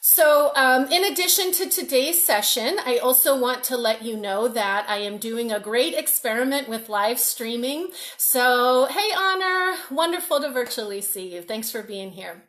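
A woman's voice: fairly high pitch, expressive, normal speed, hardly any pauses, a bit bright. A woman, hosting a live-stream workshop as a coach, upbeat, greeting viewers by name and often restarting words. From Massachusetts, North Shore of Boston. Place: room at home, indoors.

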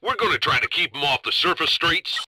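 A man playing an urgent highway patrol officer in a video game, calling in with clipped phrases over police radio. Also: expressive, a little fast, bright, forceful tone, breathy edge, no echo, full character voice.

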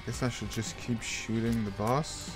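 Guy chatting slowly over his game, rambly and self-deprecating, game music behind. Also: medium pitch, steady tone. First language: French. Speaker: gaming channel host. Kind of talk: casual gameplay commentary.

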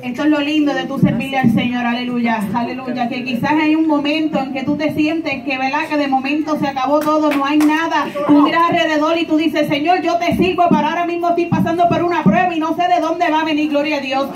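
High-pitched lady preacher, keeps saying aleluya, echoey church, crowd responding. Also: level tone, fairly quick, hardly any pauses, recorded from a distance. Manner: fervent, constant hallelujahs. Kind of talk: Pentecostal testimony preaching. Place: small church stage indoors. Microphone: handheld mic, far camera. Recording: echoey church room with congregation.

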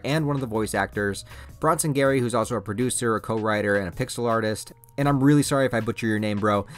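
A man hosting a YouTube channel, giving an informal, encouraging scripted promo narration. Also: on the low side. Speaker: YouTube reviewer and channel host. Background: faint music.

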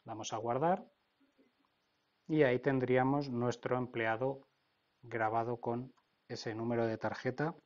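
A man's voice: mid-range voice, fairly even intonation, measured pace, long pauses, darkish tone, lo-fi sound. A man with an accent from Spain narrating an informal technical tutorial, explaining step by step and often checking in. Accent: Spain Spanish. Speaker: technical instructor.

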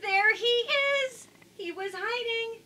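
Bright, high-voiced woman reading to children. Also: slow. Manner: expressive, addressing young children. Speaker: storybook reader. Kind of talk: children's picture-book read-aloud. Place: indoors, small room with curtain backdrop. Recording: faint background noise.

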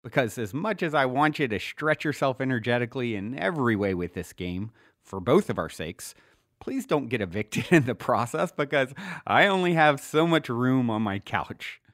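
A man reading his own self-help book as scripted narration, earnest and motivational with deliberate emphasis. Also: mid-range voice, animated, a bit bright, no room sound, studio quality.

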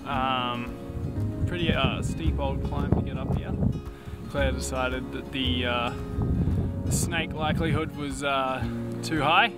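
A young man filming himself outdoors on an island hilltop, talking casually and haltingly with many fillers. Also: mid-range voice, steady tone. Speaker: sailing vlogger. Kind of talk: casual vlog narration. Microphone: camera held at arm's length. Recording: music behind.

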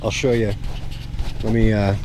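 A man's voice: fairly low pitch, flat delivery, measured pace, a little mellow, a little hiss or hum. A middle-aged man explaining a job to camera in a casual, plain-spoken, informal way. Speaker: homestead vlogger. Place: outdoors on open rural land. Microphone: clip-on mic and handheld camera.

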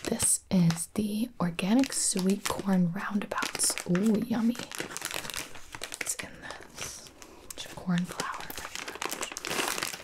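A woman doing an ASMR roleplay as a baby photographer, whispering softly and cooing as if to a baby. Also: medium pitch, fairly even intonation, slow.

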